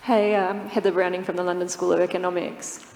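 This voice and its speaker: A woman in the audience asking an articulate question and rephrasing it clearly. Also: on the low side, little pitch movement, a little fast, a little mellow. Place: lecture hall. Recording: echoey hall, faint room noise.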